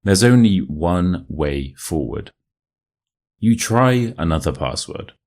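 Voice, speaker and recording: A man with a modern RP British accent reading a short story as an English teacher, in a measured, clearly enunciated way. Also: low voice, animated, darkish tone. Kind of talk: scripted story narration for listening practice.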